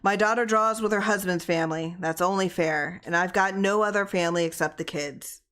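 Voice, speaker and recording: A woman's voice: fairly low pitch, brisk, lightly acted. Woman reading as a subdued, resigned husband. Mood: regretful. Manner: quiet, regretful, plain answers. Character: subdued, resigned small-town husband and father.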